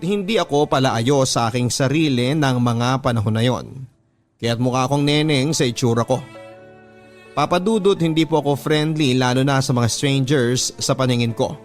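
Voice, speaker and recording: A man with a Filipino accent reads personal love-story letters as a radio host, in measured, sentimental dramatic narration. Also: medium pitch. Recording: music playing under the voice. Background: background music.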